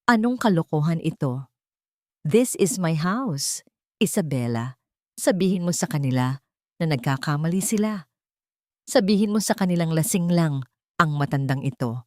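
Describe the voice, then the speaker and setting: A woman's voice: low-pitched, animated, normal speed, pauses often, mellow, no room sound, clean studio sound. A woman voicing an arrogant, outraged businessman in a dramatic audio story, indignant and commanding. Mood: outraged disbelief. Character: arrogant businessman, outraged and nervous, barking at intruders.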